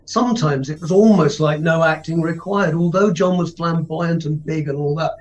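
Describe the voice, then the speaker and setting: A man's voice: on the high side, normal speed, a little mellow, a little hiss or hum. A man, an actor, speaking earnestly about a role. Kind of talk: podcast interview answer.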